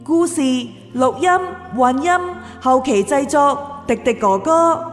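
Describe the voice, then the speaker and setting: A woman's voice: high voice, expressive, slow. A woman radio announcer reading a scripted broadcast announcement. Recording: long reverb, echoing hall sound. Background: faint music.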